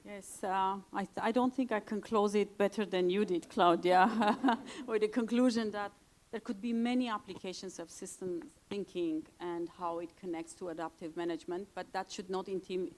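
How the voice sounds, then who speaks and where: A woman's voice: mid-range voice, steady tone, normal speed, a little mellow. A woman moderating a conference panel, friendly and a little hesitant, with many fillers.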